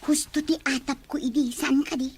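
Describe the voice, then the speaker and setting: A woman plays a nosy, blunt auntie in an audio drama. Her voice is high, with faint music underneath. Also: fairly even intonation, moderate pace. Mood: pointed, concerned. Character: nosy, blunt older female relative, an auntie. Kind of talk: Ilocano audio drama acting.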